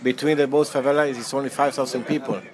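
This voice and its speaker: A man's voice: mid-range voice, steady tone, fast, crowd noise. A man from Chile who runs a hostel, answering interview questions casually with many fillers and restarts. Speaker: hostel owner.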